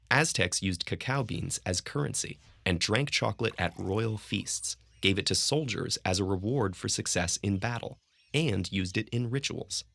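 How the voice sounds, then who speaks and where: A man narrates an educational video from a script in a low, gravelly voice. His delivery is measured and steady, like a storyteller. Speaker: documentary narrator.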